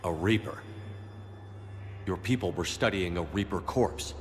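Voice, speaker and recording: An actor playing a steady, resolute military commander in a video game, firm, measured and terse. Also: male voice, deep voice, a little hiss or hum. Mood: stern. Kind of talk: video game cutscene acting.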